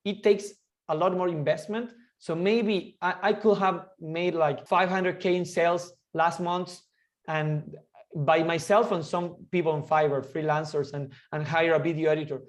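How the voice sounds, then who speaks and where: A man, talking eagerly and quickly as an interview guest, often restarting his sentences. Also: fairly high pitch, fairly even intonation. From Argentina. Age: 20s. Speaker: guest entrepreneur. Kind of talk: casual interview chat.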